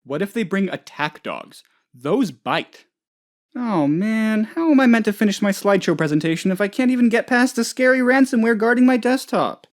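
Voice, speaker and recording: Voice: medium pitch, normal speed, close to an everyday voice. An actor playing a dim, anxious, childishly self-important office boss in a comedic video game, rambling hesitantly and turning whiny when upset. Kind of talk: comedic game dialogue, voice lines.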